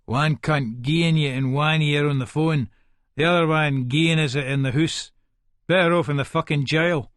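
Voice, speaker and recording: A man's voice: fairly high pitch, fairly even intonation, normal speed, fairly bright tone, dry recording, studio quality, lightly acted. An actor playing a short-tempered, foul-mouthed Scottish hard man, narrating aggressively and colloquially in an Edinburgh accent for an audiobook. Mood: irritable, scornful. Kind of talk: first-person audiobook narration, dramatic.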